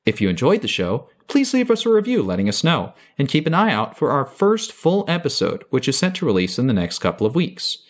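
A man's voice: medium pitch, wildly swooping pitch, fairly quick, hardly any pauses. A man reading a scripted credits and promotional announcement as host of a fiction podcast.

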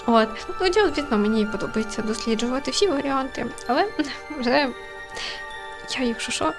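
A woman hosting a casual game playthrough, thinking aloud in a chatty, informal way. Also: on the high side. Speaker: gaming channel host. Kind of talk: casual let's-play commentary and reading aloud. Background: game music.

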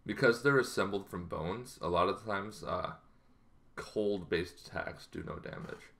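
A man in his thirties giving a casual, rambling explainer to camera, halting as he goes, in a small bedroom. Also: low-pitched, creaky, fairly bright tone. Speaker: host of a tabletop roleplaying channel.

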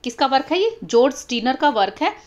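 Young woman teaching exam prep, slightly high voice, goes over each answer twice. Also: very animated, a little fast, darkish tone. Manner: explanatory, repeats key terms, mixes English titles into Hindi. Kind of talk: explaining exam questions over annotated screen.

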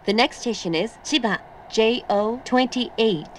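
A woman with a high voice reads scripted train announcements very slowly. Her delivery is measured and clearly enunciated, with faint train noise behind it.

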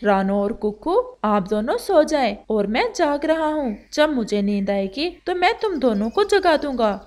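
Woman as a slow, steady father bird. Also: a little high. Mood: caring. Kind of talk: children's cartoon story acting.

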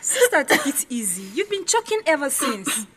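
A woman's voice: sits high, sing-song, moderate pace, fairly bright tone. A woman playing a concerned young Nigerian companion in an audio drama. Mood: concerned, hurt.

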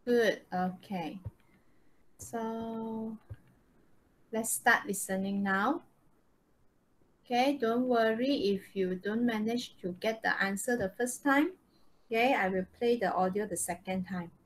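Female online English teacher, Malaysian accent, higher-pitched, instructional, peppers her speech with short checking questions. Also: slow, pauses often, rough recording. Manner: frequent checking questions, tag words like okay and right. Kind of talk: live online lesson, instructing students. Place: indoors, online video call.

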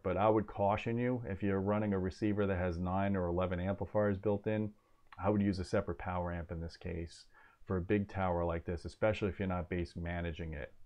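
Low-voiced man explaining technical gear. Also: a little mellow. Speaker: host of an audio gear review channel. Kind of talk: informal technical explanation to camera. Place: small home office, indoors.

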